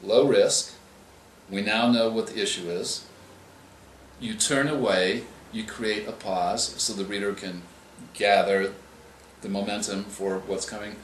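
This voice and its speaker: A male author answering interview questions, explaining in a measured way and often restarting his phrases. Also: fairly low pitch, fairly even intonation, a little hiss or hum. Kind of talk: interview answers on writing craft. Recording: echoey room with faint ambience.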